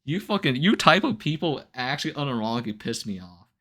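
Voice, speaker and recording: A man chatting casually on a livestream, ranting to viewers in an incredulous, animated way with casual swearing. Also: mid-range voice, fast talker. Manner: laughs often between remarks. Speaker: live streamer.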